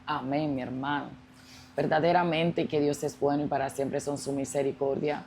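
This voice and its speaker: A woman pastor hosts with an unusually deep, dark, mellow voice. She speaks in devotional phrases and affirms her guest often. A faint background hiss sits under her speech. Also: level tone, normal speed. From Colombia. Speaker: pastor and host.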